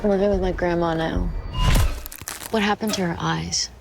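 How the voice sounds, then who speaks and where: A woman playing an uneasy orphaned girl in a film, with a creaky voice. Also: on the low side, steady tone, moderate pace. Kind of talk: film trailer dialogue. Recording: trailer music underneath.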